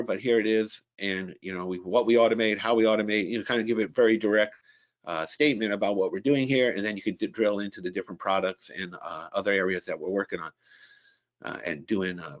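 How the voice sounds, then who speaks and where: A man introducing a software webinar in a casual, rambling way, full of fillers and restarts. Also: lowish voice, fast talker, lo-fi sound. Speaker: company presenter introducing a webinar.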